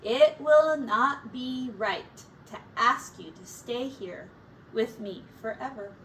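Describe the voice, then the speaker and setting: A woman teacher reading a picture book aloud to young children in an expressive, deliberate storytelling manner. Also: fairly high pitch. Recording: distant mic, faint background noise.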